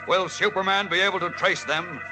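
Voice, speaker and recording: A man's voice: high-pitched, brisk, low-quality recording. A man announcing and narrating in 1940s radio serial style, emphatic and suspenseful. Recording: old radio recording with loud music.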